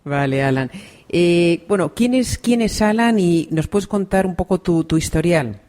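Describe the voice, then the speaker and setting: A woman from Spain with a Spain Spanish accent, hosting an interview podcast in a relaxed way, often adding soft affirmations. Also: lowish voice, a little slow. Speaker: podcast host.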